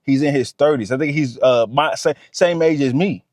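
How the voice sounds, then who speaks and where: A man's voice: mid-range voice, normal speed, darkish tone, dry recording, clean studio sound. A man, giving a motivational talk to camera. He talks straight to the viewer and repeats his points. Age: 30s. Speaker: vlogger.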